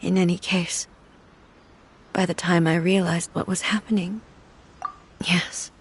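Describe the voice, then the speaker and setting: A woman voicing a solemn, grieving divine ruler in a game, speaking softly and measuredly, often close to a whisper. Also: fairly low pitch, steady tone, unhurried, slightly rough. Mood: sorrowful. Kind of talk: game cutscene voice acting.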